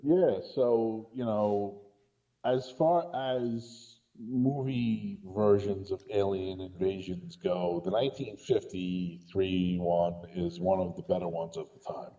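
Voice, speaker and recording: A man's voice: sits low, steady tone, takes it slowly, dark tone. A man talking casually about films as a podcast guest, conversational and opinionated. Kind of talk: casual film discussion. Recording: dull lo-fi voice recording.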